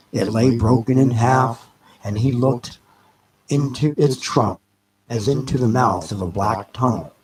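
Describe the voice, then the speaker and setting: Guy narrating an audiobook story, real slow and measured, lots of pauses, mid pitched voice. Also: darkish tone, poor audio. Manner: measured, deliberate, pausing between phrases. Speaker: narrator reading a novel aloud.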